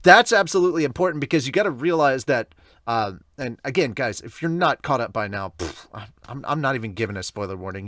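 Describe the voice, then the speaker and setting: A man's voice: medium pitch, fairly bright tone, no echo, clean studio sound. A man hosting a podcast review in casual, informal, enthusiastic talk.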